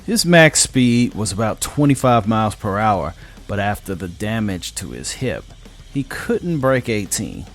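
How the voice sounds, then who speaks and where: A man narrating a scripted science fiction story in a dramatic style. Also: fairly low pitch.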